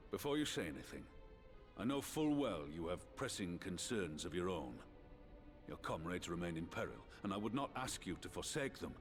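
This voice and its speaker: An actor playing an earnest, authoritative military leader in a game cutscene, with formal, commanding speech. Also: male voice, sits low, very animated, normal speed, faint music behind. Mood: earnest, urging. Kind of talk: video game cutscene voice acting.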